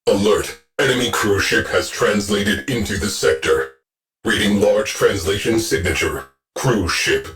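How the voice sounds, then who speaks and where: A man voicing a stern veteran ship AI that gives dry, clipped military briefings in a video game. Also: low-pitched. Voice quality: dry deadpan. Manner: clipped, terse mission briefings.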